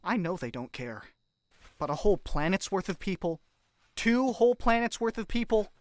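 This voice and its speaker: A man's voice: high-pitched, big pitch swings, moderate pace. A man acting in an audio drama, playing an earnest young man pleading for others. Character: idealistic young man, troubled by suffering.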